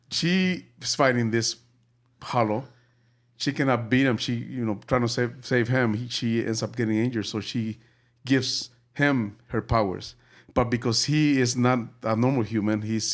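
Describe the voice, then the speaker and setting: A man from El Salvador hosting a casual movie review podcast, talking in halting bursts full of fillers and restarts. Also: mid-range voice. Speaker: podcast host.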